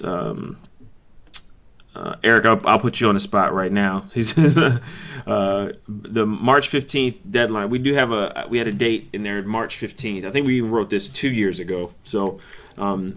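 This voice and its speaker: A man with a low voice runs a meeting by conference call. He speaks informally and haltingly, working out his points as he goes. The sound is dull and lo-fi. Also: a bit bright. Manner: halting, hedging, thinking aloud.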